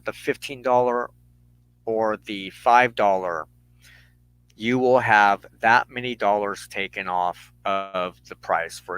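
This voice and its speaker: A man hosting an informal broadcast, giving announcements to followers in a halting way with pauses mid-phrase. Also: mid-range voice, fairly bright tone, smooth, dry recording, poor audio. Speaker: broadcast host and tarot reader.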